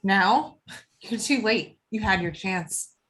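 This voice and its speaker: A woman's voice: medium pitch, takes it slowly, fairly bright tone. An actress playing an angry, blunt, foul-mouthed woman in a table read of a film script. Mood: bitter, defiant. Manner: combative, blunt.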